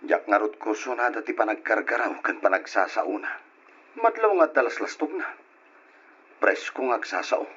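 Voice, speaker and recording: A man's voice: fairly low pitch, animated, normal speed, fairly bright tone, slightly rough. A man playing a resentful, suspicious young adult son in an old Ilocano radio drama, on a dull recording.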